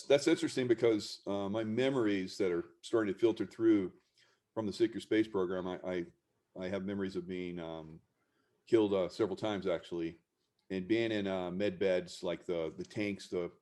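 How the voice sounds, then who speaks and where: A very deep-voiced man hosts an interview, responding in a relaxed, affirming way. He muses aloud on his guest's points and often rephrases as he goes. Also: moderate pace. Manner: relaxed, affirming, thoughtful reflection. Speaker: host. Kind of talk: casual interview talk.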